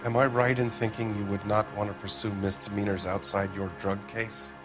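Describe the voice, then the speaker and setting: A man's voice: on the low side, flat delivery, normal speed, close to an everyday voice. A man playing a blustering factory boss. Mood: evasive. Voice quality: blustering, defensive. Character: pompous, evasive middle-aged male factory boss. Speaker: soup factory owner. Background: music score.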